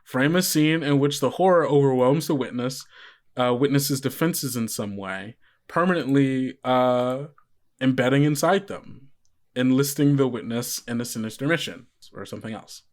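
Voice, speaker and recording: A man's voice: medium pitch, normal speed. Male game master, conversational and unscripted. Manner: conversational, often posing questions to the player. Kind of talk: improvised narration of a tabletop horror game.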